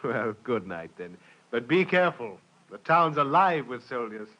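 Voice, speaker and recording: A man's voice: medium pitch, big pitch swings, moderate pace, bright, forceful tone. An actor playing a cautious, worried Frenchman urging care on his friend, in old radio drama style. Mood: friendly, concerned. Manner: concerned, urging. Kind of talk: mid-century radio drama acting.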